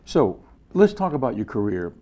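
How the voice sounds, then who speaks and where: A man from Louisiana hosting an interview, asking questions in a halting, conversational way. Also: medium pitch, big pitch swings, mellow. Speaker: host.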